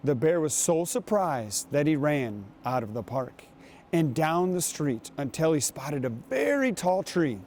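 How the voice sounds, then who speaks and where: Slow, mellow man reading a storybook. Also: on the high side, sing-song, a little hiss or hum. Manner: unhurried storytelling with pauses. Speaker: guest reader, basketball coach. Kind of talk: reading a picture book aloud to children.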